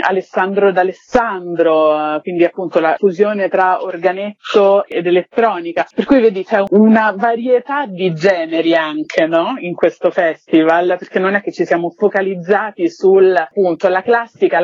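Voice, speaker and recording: A woman's voice: medium pitch, a little mellow, no echo. A woman being interviewed on the radio as a festival organiser, talking in an enthusiastic, expansive, informal way. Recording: dull, lo-fi audio.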